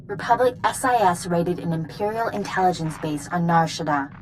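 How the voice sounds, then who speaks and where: An actress playing a composed, resolute military commander in a video game, giving measured, earnest briefings. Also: female voice, lowish voice, a little hiss or hum, lightly acted, rough recording. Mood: grave, briefing.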